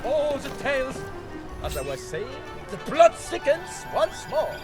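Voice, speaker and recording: An actor playing a theatrical young would-be hero in a video game, with a pompous, florid, overdramatic delivery. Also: male voice, very high-pitched, big pitch swings, measured pace, heavily acted. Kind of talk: video game character acting. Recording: music behind the voice.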